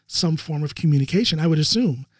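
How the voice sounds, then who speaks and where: A man's voice: fairly high pitch, expressive, fast talker, husky, no echo, studio quality. A man in his forties hosting a podcast, talkative and affirming, thinking aloud as he responds to his guest. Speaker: podcast host. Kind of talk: conversational interview. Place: home studio room, indoors. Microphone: large studio mic with headphones.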